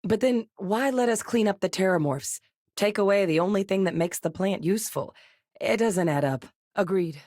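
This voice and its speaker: A woman voicing a seasoned, earnest female soldier and xenobiologist in studio video game dialogue, measured and sincere. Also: medium pitch, darkish tone, no room sound, lightly acted. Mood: steady. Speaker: game character voice actor. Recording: dry studio recording.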